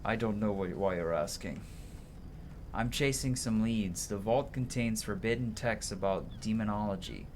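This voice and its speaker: Male game streamer, lower register, casual slangy delivery mixing read dialogue and commentary, audible background noise. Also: moderate pace.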